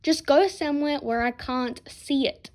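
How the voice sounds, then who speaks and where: A woman's voice: sits high, measured pace, pitched above the performer's own voice. A woman voicing a brash, sarcastic, bossy girl with a blunt, mocking manner in an audiobook-style fan story. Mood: exasperated, mocking.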